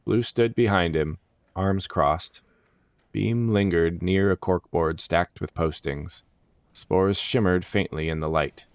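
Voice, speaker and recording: A man's voice: low-pitched, slow pace, clear, resonant tone, no room sound. A man reading scripted fantasy story narration as the narrator.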